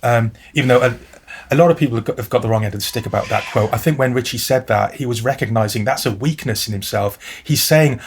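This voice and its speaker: A man's voice: mid-range voice, quick pace, slight background noise. A man, talking as a podcast guest in a thoughtful, articulate, wry way. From South Wales. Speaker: guest music journalist. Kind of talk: casual podcast conversation.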